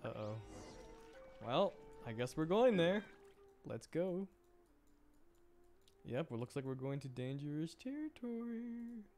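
A woman's voice: sits low, sing-song, slow pace, pauses often, mellow, soft background music. A woman streamer giving casual, amused game commentary, reacting aloud as she plays. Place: indoor stream voice-over with game music behind.

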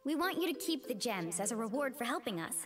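A woman's voice: fairly high pitch, sing-song, fast talker. An actress voicing a friendly, earnest young faun woman in a video game. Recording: game audio with music behind.

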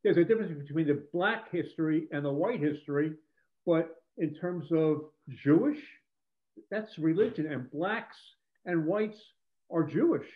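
Old guy in his 70s chiming in on a video call, halting, tinny phone-like sound, voice a bit high. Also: expressive. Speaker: audience participant. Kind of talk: informal discussion. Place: living room on a video call. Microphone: headset.

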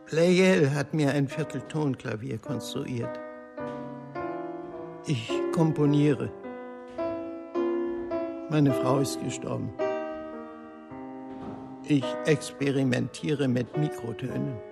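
Radio drama: impoverished old composer, lonely and plaintive, creaky, dragging delivery over prominent music. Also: male voice, mid-range voice. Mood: weary, resigned. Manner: slow, halting, plaintive. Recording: music mixed loudly behind.

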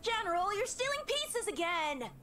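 A woman's voice: very high-pitched, very animated, moderate pace, exaggerated voice. A woman voicing an earnest, hesitant young child pupil of a general in a game trailer. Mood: indignant. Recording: loud trailer music behind voice.

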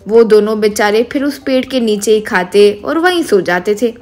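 A woman's voice: medium pitch, normal speed, darkish tone, faint music behind. A woman narrating a scripted storybook tale as the narrator.

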